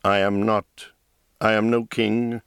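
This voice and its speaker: A stern yet gentle male mage, read by a man narrating an audiobook, speaks low and quietly. His words come slowly and evenly, with calm authority. The sound is close and dry. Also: clean tone, dry recording. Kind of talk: audiobook character voice.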